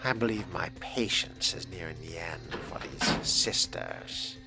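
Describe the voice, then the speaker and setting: A man's voice: low-pitched, a little slow, creaky. A man voicing a suave, sardonic young vampire in character during a video game, courtly and wry. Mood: courteous, dry. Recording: loud music behind the voice.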